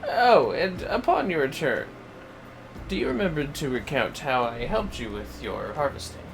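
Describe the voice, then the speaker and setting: A man's voice: on the high side, big pitch swings, moderate pace, gravelly, music in the background, low-quality recording. A man voicing an effusive, self-important video game character in a florid, theatrical manner.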